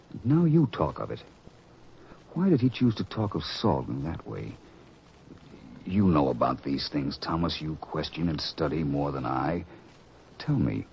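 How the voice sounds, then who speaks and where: A man acting an earnest, conscientious disciple in a religious radio drama, with formal, deliberate phrasing. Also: very deep voice, creaky, a little hiss or hum, exaggerated voice. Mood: reflective. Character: Andrew, earnest male disciple, conscientious and troubled. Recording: muffled radio recording with music.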